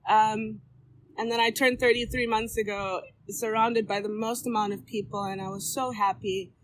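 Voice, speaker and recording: A woman in her thirties speaking candidly at a recovery meeting, halting often with ums and restarts as she addresses a circle of people in the room. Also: fairly high pitch, fairly even intonation, slight background noise. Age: 30s. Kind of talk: personal share at a recovery meeting.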